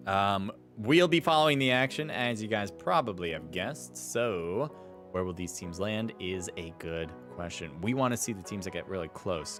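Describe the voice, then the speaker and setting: A man casting a live gaming stream in a casual, rambling, self-deprecating way. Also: fairly low pitch. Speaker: esports livestream caster. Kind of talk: casual live game commentary and chat. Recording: music behind the voice. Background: music.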